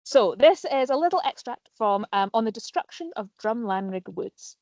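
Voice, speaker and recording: A woman's voice: fairly high pitch, lively intonation, normal speed, a little mellow, dry recording. Woman teaching over a dry line. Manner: friendly, explanatory, frequent ums. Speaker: nature educator. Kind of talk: live online lesson for pupils. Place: plant nursery.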